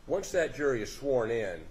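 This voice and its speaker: A man questioning a witness in a courtroom, halting and restarting his questions in a folksy way. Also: mid-range voice, sing-song, darkish tone, a little hiss or hum. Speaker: defense attorney questioning a witness. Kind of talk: courtroom examination. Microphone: courtroom microphone.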